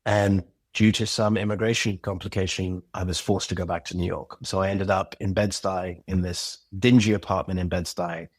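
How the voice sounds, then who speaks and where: A middle-aged man with a very low, somewhat husky voice answers interview questions reflectively. He pauses, circles back and restarts phrases as he works through his thoughts. The sound is dry and close. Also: fairly even intonation, no echo. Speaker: podcast guest, writer and director.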